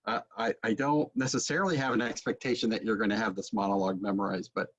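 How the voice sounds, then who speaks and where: A man in his forties interviewing conversationally over a video call, halting as he thinks aloud. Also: lowish voice, steady tone. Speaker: interviewer and drama teacher. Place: book-lined home room, video call. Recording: dull lo-fi video call audio.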